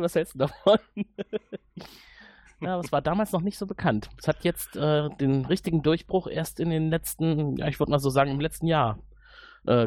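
A man's voice: fairly high pitch, a little mellow, no room sound, clean studio sound. A man chatting casually as a podcast host.

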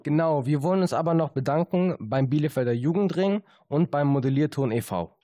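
A woman's voice: low-pitched, lively intonation, fairly quick, dark tone, dry recording. A woman presenting a radio show with a scripted sign-off delivery.

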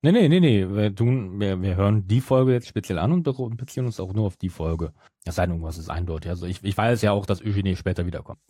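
Low, dark-voiced man in casual chat. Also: fast talker, slightly rough, no room sound, clean studio sound. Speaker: podcast co-host.

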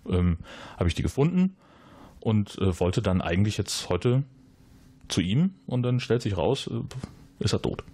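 A man's voice: animated, measured pace, pauses often, dark tone, slightly rough, no echo. A man doing a flustered, rambling suspect. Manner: rambling, hesitant, many fillers, jumps up in pitch when flustered. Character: flustered, evasive male base resident, rambling and awkward.

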